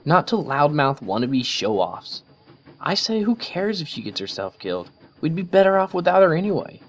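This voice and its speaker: A man's voice: a little high, very animated, normal speed, dull audio, voice pushed up. A man voicing a brash, tomboyish young female pegasus pony who talks bluntly and scornfully.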